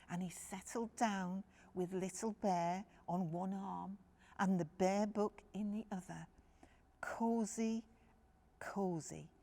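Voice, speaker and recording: A woman's voice: mid-range voice, creaky, warm tone. A woman storyteller reading a picture book expressively to young children, addressing them directly.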